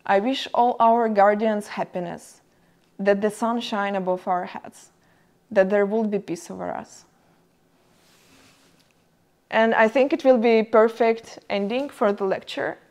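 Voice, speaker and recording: A young woman with as her giving a university lecture in halting English, with many fillers. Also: medium pitch, darkish tone. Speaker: guest lecturer. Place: lecture room.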